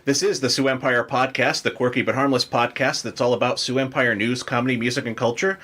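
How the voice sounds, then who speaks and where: A man's voice: mid-range voice, steady tone, brisk, a bit bright. A man hosting a podcast in a casual interview style, chatty and enthusiastic in manner. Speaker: podcast host.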